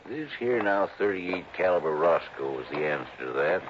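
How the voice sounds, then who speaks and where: Man faking a tough American mobster, low threatening voice, slangy, old radio drama, dull noisy recording. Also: lively intonation, normal speed. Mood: menacing, mocking. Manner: slangy, threatening, ends phrases with "see?". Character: menacing male mob thug, crude comic tough-guy gangster.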